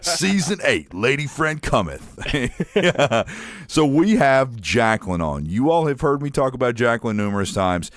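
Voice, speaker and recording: A man's voice: medium pitch, sing-song, moderate pace, no room sound. A young man hosting a casual podcast chat, joking and rambling as he tells stories. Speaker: podcast host.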